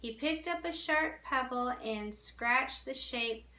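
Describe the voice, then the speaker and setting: A woman reading a story aloud as narration, deliberately, with small stumbles over the text, in a roomy, echoing recording. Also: high-pitched, sharp tone.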